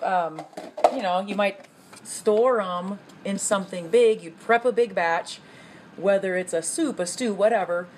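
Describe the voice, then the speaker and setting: A woman's voice: medium pitch, slight background noise. Woman, 30s, casual kitchen lesson, chatty, says "okay?" a lot, phone on the table. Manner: chatty, frequent "okay?" check-ins and "um" fillers. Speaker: meal prep coach. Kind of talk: casual instructional talk. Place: home kitchen, indoors.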